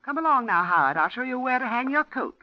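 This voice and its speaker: An actress playing an older landlady who narrates and scolds in a brisk, old-fashioned way, in 1940s radio acting on a lo-fi old broadcast. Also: fairly high pitch, sing-song, no room sound.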